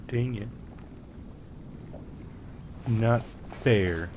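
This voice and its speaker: A man's voice: medium pitch, extremely slow, noisy background. A man giving casual commentary on a game playthrough, muttering playful asides and talking back to the game. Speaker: gaming streamer. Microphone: own microphone over game audio. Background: game music.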